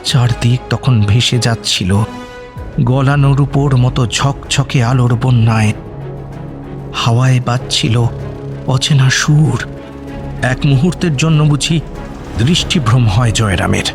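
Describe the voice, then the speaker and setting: A man narrating a horror audio story in a suspenseful, theatrical style with deliberate pauses. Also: medium pitch. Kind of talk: dramatic scripted horror narration. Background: background music.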